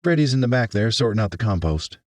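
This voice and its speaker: A man's voice: fairly low pitch, big pitch swings, fast, no room sound, clean studio sound, pitched above the performer's own voice. A man voicing a friendly young woman florist with a folksy Mississippi drawl, in an audiobook story. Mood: cheerful, welcoming. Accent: Mississippi Southern.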